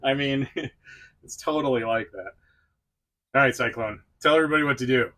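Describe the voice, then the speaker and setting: A man's voice: mid-range voice, moderate pace, sharp tone. A middle-aged man hosting a casual review vlog, chatty and self-deprecating, talking straight to the viewer. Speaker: toy review channel host.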